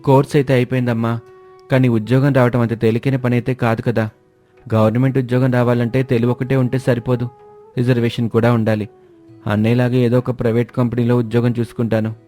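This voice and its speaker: A man plays an earnest young job seeker in an animated moral story. He explains himself seriously in a relaxed, dark voice. Faint music is behind him. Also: mid-range voice, fast talker, lightly acted. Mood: matter-of-fact.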